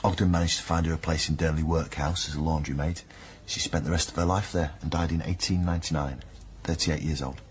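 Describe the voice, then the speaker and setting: An actor playing an earnest, concerned partner in naturalistic radio drama dialogue, speaking gently. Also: male voice, a bass voice, fairly even intonation, brisk. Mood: grave. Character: earnest, concerned male partner of a troubled woman.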